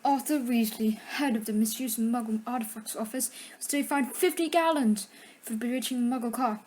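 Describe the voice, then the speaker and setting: A woman plays an arrogant young wizard bully at school. The voice is a little high and drips with sneering contempt, in an audio play. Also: brisk, darkish tone, lightly acted. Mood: scornful. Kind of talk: audio drama acting.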